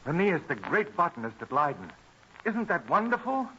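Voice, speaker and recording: An actor playing an eager, idealistic colonial farmer and botanist in old-fashioned radio drama, earnest and dreamy in speech. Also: male voice, a little high, huge pitch swings, normal speed. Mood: eager, vexed. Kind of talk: mid-century radio drama acting. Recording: dull old radio recording, faint noise.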